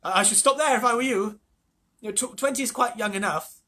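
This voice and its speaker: A reader voicing an excitable old grandfather who yells and questions eagerly. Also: medium pitch, quick pace, pitched above the performer's own voice. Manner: yelling, excited.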